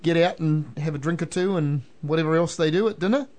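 A man's voice: fairly high pitch, brisk, a little mellow. Radio host: teasing, light-voiced New man. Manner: jovial, teasing, laughs often. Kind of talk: relaxed radio chat.

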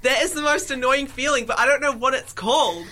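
A woman's voice: sits high, a little fast, sharp tone. Bubbly young New Zealand woman radio host. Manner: bubbly, rambling, self-interrupting. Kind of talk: casual chat. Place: small radio studio.

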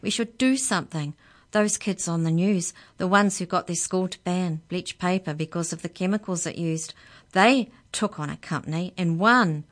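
A woman voicing an angry, outspoken schoolgirl who talks loudly and fast. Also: on the low side, natural voice. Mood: demanding. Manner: loud, rapid, indignant. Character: angry outspoken schoolgirl, curly-haired and stubborn.

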